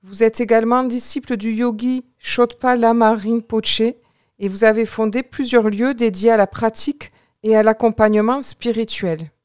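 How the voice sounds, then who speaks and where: A woman hosts a radio interview in a friendly, easygoing way, with frequent pauses and fillers. Her voice is fairly high and smooth, and the recording is dry and close. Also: fairly even intonation, darkish tone, dry recording. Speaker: radio host.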